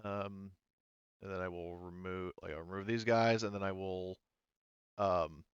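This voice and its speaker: A man working as game master, talking casually through tabletop rules in a halting way, thinking aloud. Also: deep voice, fairly even intonation, clear, resonant tone, dry recording, lo-fi sound.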